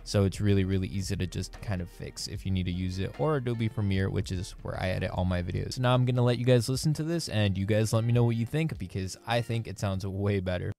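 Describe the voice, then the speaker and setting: A man's voice: sits low, quick pace, creaky, few pauses, a little mellow, soft background music. A young man in a small home studio, chatting upbeat and direct to viewers while walking them through audio editing on a close podcast microphone. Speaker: YouTuber teaching audio editing. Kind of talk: casual tutorial. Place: small dim bedroom studio. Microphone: podcast mic on boom arm, close.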